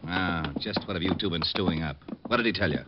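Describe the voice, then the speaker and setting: An actor playing a gruff, grouchy police lieutenant in a 1950s radio drama, brusque and irritable. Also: male voice, low-pitched, fast, natural voice. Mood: irritated. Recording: muffled old radio recording, faint hiss.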